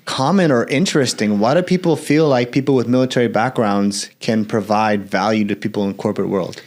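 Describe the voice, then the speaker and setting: A man hosting an interview, asking quick questions and giving short backchannel replies. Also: lowish voice, darkish tone. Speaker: interview host.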